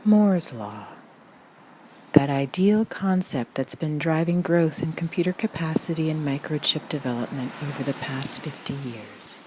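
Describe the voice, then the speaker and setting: Female meditation guide for a parody: low register, very slow, soothing and straight-faced. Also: a little hiss or hum, poor audio. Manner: calm, soothing, deliberate, deadpan.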